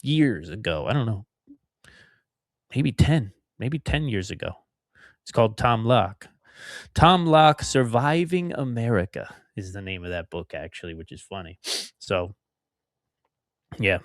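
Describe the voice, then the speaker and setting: A man's voice: on the low side, lively intonation, measured pace, long pauses, no echo, clean studio sound. A middle-aged man from outside Philadelphia hosting a solo podcast, talking in a rambling, conversational way with emphatic repetitions. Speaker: podcast host.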